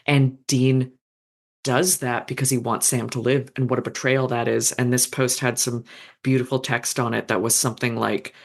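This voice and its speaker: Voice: mid-range voice, flat delivery, moderate pace, gravelly. A fanfiction author in a casual podcast conversation, thoughtful and meandering, with frequent fillers and restarts.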